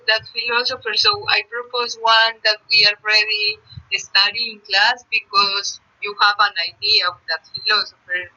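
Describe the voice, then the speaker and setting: Bright teacher woman on lo-fi audio. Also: fairly high pitch. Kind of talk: online class explanation.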